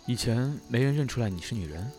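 A man's voice: mid-range voice, animated, fast, darkish tone, soft background music, close to an everyday voice. An actor playing an aloof, sardonic young nonhuman man in a costume audio drama, with a detached way of talking. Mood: cool, guarded.

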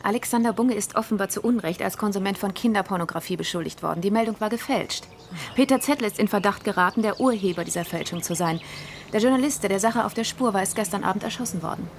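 An actress playing a brisk, matter-of-fact female investigator in a German radio crime drama. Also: medium pitch, slight background noise, close to an everyday voice.

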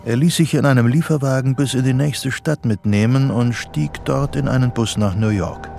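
A male actor as the narrator of a radio thriller. His medium-pitched voice has a marked gravelly creak, and music runs clearly underneath the scripted narration. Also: moderate pace, a little mellow, close to an everyday voice. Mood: sober.

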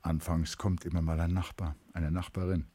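Deep-voiced male literary narrator. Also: little pitch movement, moderate pace, dark tone, a little hiss or hum. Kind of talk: literary radio play narration, scripted.

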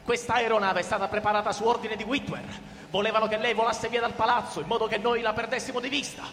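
A reverberant hall surrounds a ruthless military agent in a radio thriller. His voice is high for a man and bright, and he talks down to people with cold menace. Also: little pitch movement, quick pace. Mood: condescending, brisk. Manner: menacing, condescending. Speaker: actor. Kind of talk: theatrical radio drama dialogue. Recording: long reverberant hall sound.

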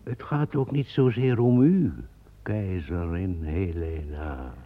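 An actor playing a brooding man descended from a traitor in a radio play, speaking in a solemn, measured way. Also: low-pitched, no room sound. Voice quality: gravelly. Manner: solemn, measured, visionary storytelling. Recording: dull lo-fi old radio recording.

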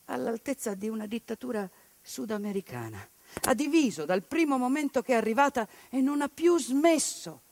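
A woman actress performing a theatrical monologue, narrating with passion and dramatic swings between hushed and loud delivery. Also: a little high, dry recording. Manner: impassioned, dramatic swings in loudness. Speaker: stage actress. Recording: radio broadcast of a stage piece.

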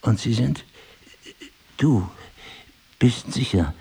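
An actor playing a wry, disoriented husband with amnesia, musing and articulate, in a German radio play. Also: male voice, medium pitch, animated, drawn-out words, vocal fry, mellow, slightly rough, full character voice. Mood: bewildered.

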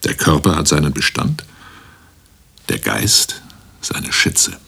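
An actor playing a pompous, philosophising oddball in a satirical radio drama, declaiming with whispery asides. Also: male voice, extremely low pitch, big pitch swings, slow pace, vocal fry, sharp tone, breathy edge, exaggerated voice. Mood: pompous, musing.

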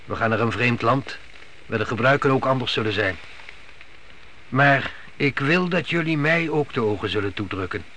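A stern and pious middle-aged patriarch, speaks in a medium male pitch. His manner is solemn and deliberate, as in a biblical radio drama, with light noise on the recording. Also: expressive, sharp tone. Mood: firm. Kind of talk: radio play acting. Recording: noisy old radio play recording.

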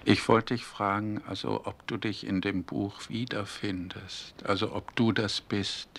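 A man interviewing and narrating for a radio documentary, asking halting, searching questions. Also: fairly low pitch, little pitch movement, fairly bright tone.

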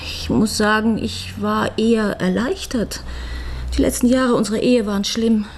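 Actress as a dry, exasperated middle-aged widow, ambience behind. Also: female voice, fairly high pitch, fairly even intonation, normal speed, a little hiss or hum, natural voice. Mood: sober, frank. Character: newly widowed lawyer's wife, broke, weary, frank, impatient. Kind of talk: naturalistic German radio crime drama acting.

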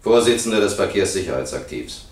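A man's voice: low voice, expressive, a little fast. An actor playing a dutiful middle-aged steel foreman in a radio drama, clipped and formal. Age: 40s. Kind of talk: radio courtroom drama acting. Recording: echoing room, far from mic.

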